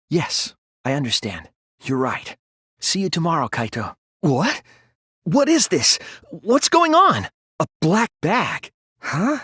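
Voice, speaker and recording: A man voicing an earnest, anxious, thoughtful teenage student detective in a video game, with a hesitant, soft, slightly husky delivery. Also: a little high, no echo, clean studio sound, full character voice. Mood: alarmed. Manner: hesitant, stammering when flustered, earnest. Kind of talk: English video game character voice acting.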